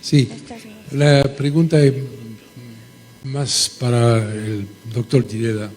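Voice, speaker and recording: A man from France with a French accent, haltingly asking a question from the floor as he searches for words. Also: mid-range voice, a little mellow. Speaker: audience member asking a question. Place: large conference hall. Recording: reverberant hall.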